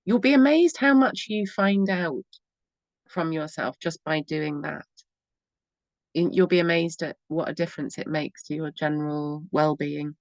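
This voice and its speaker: A woman talks in a low, encouraging voice as a radio host, rambling along and often laughing. She is recorded dry and close to the mic. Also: a little slow, dry recording. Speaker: radio show host and EFT therapist. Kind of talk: casual spoken advice on a talk radio show.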